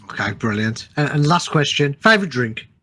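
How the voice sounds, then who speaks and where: A man's voice: mid-range voice, big pitch swings, moderate pace, bright, forceful tone, slight background noise. A man hosting a podcast interview in an informal, chatty way with short interjections. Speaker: podcast host. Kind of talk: casual interview chat.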